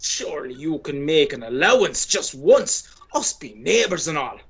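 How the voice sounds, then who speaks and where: A woman's voice: deep voice, sing-song, moderate pace, slightly rough, exaggerated voice. A woman acting an ageing, boastful Irish male sporting champion in a radio play, chatty, pompous and persistent. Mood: wheedling. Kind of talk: radio play monologue and dialogue.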